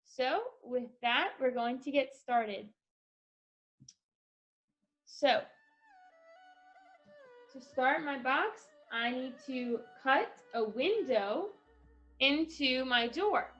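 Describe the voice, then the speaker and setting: Woman, fairly high voice, slow pace, casual craft tutorial for children, instructional phrasing with pauses, slightly dull recording. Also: animated, fairly bright tone, faint music behind. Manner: instructional, addressing children, pausing between phrases. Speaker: craft presenter for a children's read-aloud project.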